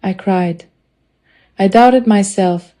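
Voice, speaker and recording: A woman with a foreign accent reading a prepared personal testimony in an earnest, deliberate way, in short measured phrases. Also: mid-range voice, dark tone. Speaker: student telling a personal story.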